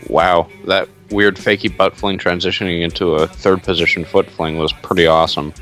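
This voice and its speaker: A man's voice: low-pitched, flat delivery, moderate pace, a bit bright. A young man giving casual commentary and banter as a co-host on a video call. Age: young adult. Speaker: co-host of a track review stream. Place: home room on a group video call. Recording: music playing behind the voice. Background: music with guitar.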